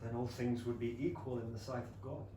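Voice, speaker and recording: A man acting a proud, wounded period gentleman in a staged reading, speaking formally and eloquently of hidden love. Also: mid-range voice, fairly even intonation, lightly acted. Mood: candid, restrained. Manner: formal, measured, eloquent theatrical delivery. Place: small London theatre stage, filmed. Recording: echoey theatre, distant mic, noisy room tone.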